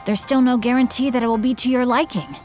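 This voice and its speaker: A courteous, scholarly young boy acted by a woman speaks in a slightly high voice. His phrasing is formal and eloquent, as in a game cutscene, with soft music underneath. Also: steady tone, fast, lightly acted. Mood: earnest, courteous. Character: polite bookish teenage boy novelist, refined and earnest. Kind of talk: video game character voice acting.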